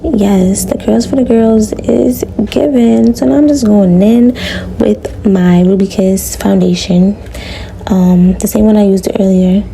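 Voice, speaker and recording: A young woman with a raspy voice chatting casually to viewers as she styles her hair, relaxed and full of slang, in a small room. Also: mid-range voice, measured pace, slight background noise, rough recording. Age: young adult. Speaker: beauty vlogger. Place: small room before a green screen.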